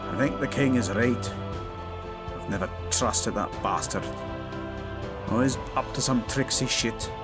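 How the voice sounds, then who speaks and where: A man playing a gruff, suspicious but loyal dwarf with earthy, informal speech in a fantasy audio drama. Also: mid-range voice, unhurried, over loud music, lightly acted. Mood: distrustful.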